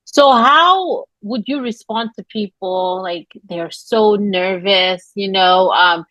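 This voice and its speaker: A woman's voice: mid-range voice, slow pace, bright, forceful tone, no echo, muffled recording. A woman hosting a podcast who asks rambling, casual questions with many fillers. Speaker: podcast host. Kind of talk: podcast interview.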